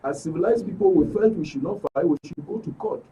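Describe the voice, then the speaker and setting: Male interview guest, middle-aged, Nigerian accent. Slightly high, rough-edged voice, emphatic delivery, off-mic sound. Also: quick pace, dark tone, distant mic, highs cut off. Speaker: studio guest, aggrieved club member. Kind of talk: spoken account in a broadcast interview. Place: radio and TV studio.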